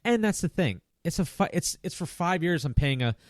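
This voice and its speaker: A man's voice: fairly high pitch, animated, normal speed, dry recording, clean studio sound. A man in his late thirties hosting a casual chat, talking in a rambling, easygoing way and laughing often. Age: 30s. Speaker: podcast host.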